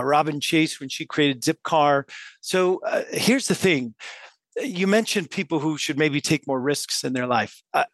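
A man answers interview questions in a fairly high, bright voice. He talks thoughtfully and loosely, with frequent fillers, restarts and easy laughs. The sound is dry and close, with no room around it. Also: no room sound. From West Coast of the US. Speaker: guest author and business professor. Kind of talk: casual interview talk.

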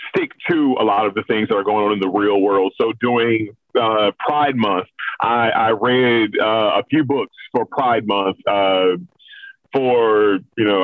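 A man's voice: mid-range voice, dry recording. A middle-aged American man talking candidly and casually in an interview, with self-deprecating humour. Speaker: host of a children's story reading show, being interviewed. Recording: thin, phone-like call audio.

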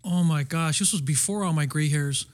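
A man's voice: fairly high pitch, normal speed. A middle-aged man, a guest on a casual podcast, easygoing and agreeable.

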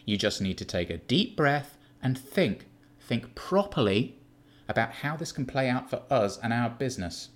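A man's voice: medium pitch, lively intonation, normal speed, pitched above the performer's own voice, lightly acted. A man voicing a callous, condescending male drug dealer who lectures irritably in a raised voice. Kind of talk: audiobook character voice.

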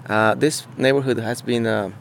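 A man from Mexico, talking casually outdoors in an on-site interview, halting and searching for words with many fillers. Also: mid-range voice, steady tone, creaky, slight background noise. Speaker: muralist interviewee. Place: outdoors beside a mural.

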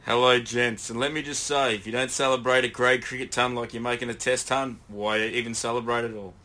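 A man's voice: mid-range voice, little pitch movement, brisk, pushed and bright. An Australian man co-hosting a cricket podcast with dry, wisecracking remarks. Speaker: podcast co-host. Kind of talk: casual banter.

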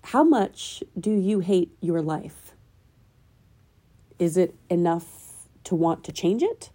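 Female podcast host, slightly nasal from a cold, very dark mellow tone, earnest self-help monologue. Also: fairly low pitch, sing-song, takes it slowly. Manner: earnest, probing rhetorical questions. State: getting over a cold.

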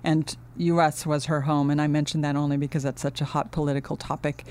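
A middle-aged woman from Finland, a guest in an interview, speaking earnestly and thoughtfully with many pauses and restarts. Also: low-pitched, level tone, a little mellow. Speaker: guest, architecture dean. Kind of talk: casual interview talk.

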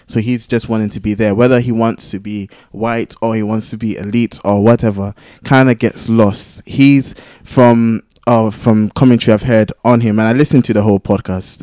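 A man in a casual podcast discussion, arguing his points in a halting way as he thinks them through. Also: lowish voice, a little mellow, dry recording, studio quality. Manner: halting, thinking aloud, argumentative. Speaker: podcast panellist. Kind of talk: casual discussion among friends.

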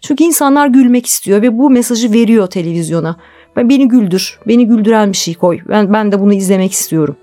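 A woman's voice: mid-range voice, animated, fast talker, dark tone. A woman speaking as an interview guest, explaining her thoughts in a conversational, reflective style. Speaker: interview guest, television drama producer.

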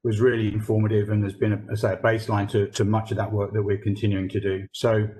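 A man on a podcast explaining a workplace research study, pausing often with fillers and restarts. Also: lowish voice, little pitch movement, mellow, slight background noise. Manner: hesitant, many fillers and restarts. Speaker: corporate real estate research lead.

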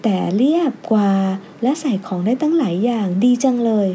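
A woman voicing an excitable, chatty, innocent little schoolgirl in a storybook, with an eager, polite, childlike way of talking. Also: fairly high pitch, normal speed, a little hiss or hum, close to an everyday voice. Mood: excited.

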